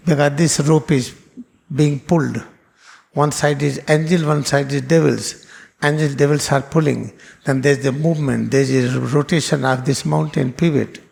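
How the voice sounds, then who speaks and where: Man with gravelly voice. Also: a little high, fairly even intonation, moderate pace, a little mellow. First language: Hindi. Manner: earnest explaining, repeats phrases, non-native phrasing. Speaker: spiritual teacher. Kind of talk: spiritual class lecture.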